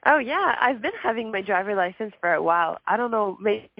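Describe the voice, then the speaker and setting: Hoarse, gravelly woman, shy and halting. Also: medium pitch, lively intonation, highs cut off. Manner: shy, halting, giggly, many fillers. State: hoarse from a throat issue. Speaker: musician guest. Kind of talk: casual interview answers.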